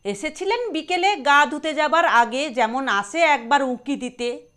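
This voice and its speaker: An irritable bedridden daughter, high-voiced and curt played by a woman reading a story. Her replies are sharp and bitter, delivered without hurry in an audio story style. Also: lively intonation, unhurried. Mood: annoyed.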